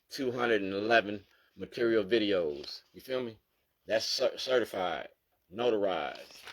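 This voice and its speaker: A man, an activist talking straight to the camera as he goes through his documents, insistent and repeating "you feel me?" to drive each point home. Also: on the low side. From Baltimore, USA. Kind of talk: direct-to-camera monologue going through documents.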